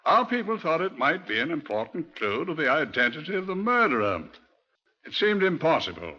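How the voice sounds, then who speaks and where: An actor playing a genteel, proud British police museum custodian in a 1950s radio drama, formal and precise. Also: male voice, fairly high pitch, big pitch swings, brisk, bright, forceful tone, exaggerated voice. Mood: solemn, explanatory. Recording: dull old radio recording.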